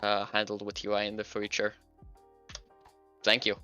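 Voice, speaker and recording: Bright-voiced Hungarian-born man streaming. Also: fairly low pitch, normal speed, smooth, dull audio, soft background music. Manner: relaxed, chatty, thinks aloud, laughs often. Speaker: streamer and game developer. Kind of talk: casual livestream chat while scripting.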